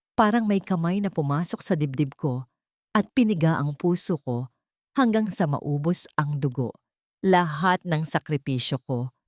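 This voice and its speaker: Female audio drama narrator, low pitch, dry studio sound, solemn and emotive first-person storytelling. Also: no echo.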